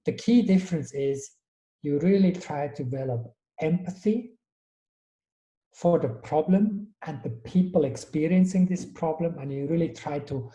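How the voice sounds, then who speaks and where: A man's voice: on the high side, a little slow, warm tone, echoey room, poor audio. A man from Switzerland, a guest in an interview, talking thoughtfully and often restarting his sentences. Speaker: guest, innovation strategist. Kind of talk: conversational interview.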